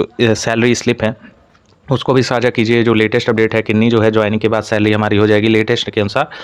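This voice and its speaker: Male explainer host, mid-pitched, informal, speaking straight to students, dry recording. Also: smooth, no room sound. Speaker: exam-news presenter for job aspirants. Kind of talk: informal explainer narration to camera-style audience.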